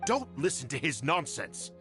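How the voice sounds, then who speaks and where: An actor voicing a scheming, cowardly older clan noble in a video game, pompous and fawning. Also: male voice, mid-range voice, sing-song, moderate pace, bright, forceful tone. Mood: indignant, insinuating. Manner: pompous, fawning, stammers when cornered. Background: background music.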